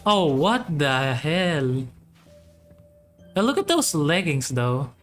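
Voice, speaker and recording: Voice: medium pitch, very animated, slow. A game streamer giving casual commentary in short, informal, musing remarks. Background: faint game music.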